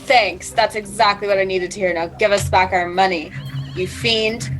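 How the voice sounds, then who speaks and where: A woman's voice: mid-range voice, fairly even intonation, normal speed, soft background music. A woman playing a tabletop game, bold and playful in character, over a video call. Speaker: player.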